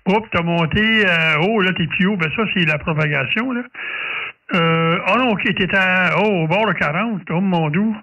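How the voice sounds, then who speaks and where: A man with a Quebec French accent speaks in a fairly high voice, some way from the microphone. The sound is slightly dull, and he chats casually, feeling his way through colloquial phrases as a ham operator. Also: moderate pace, sharp tone. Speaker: amateur radio operator filming the contact. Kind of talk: casual radio chat. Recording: dull, distant-mic home recording.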